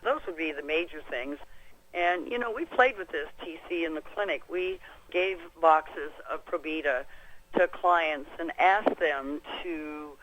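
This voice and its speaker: A woman's voice: low-pitched, a little slow, fairly bright tone, a little hiss or hum, rough recording. An American woman answering as an expert guest on a conference call, explaining things in a folksy, conversational way. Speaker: expert guest, clinic practitioner.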